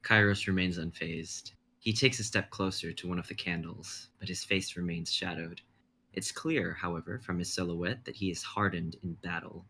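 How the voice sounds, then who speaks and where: Low-voiced young man reading directions. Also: moderate pace, creaky, sharp tone, a little hiss or hum. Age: young adult. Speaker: stage direction reader.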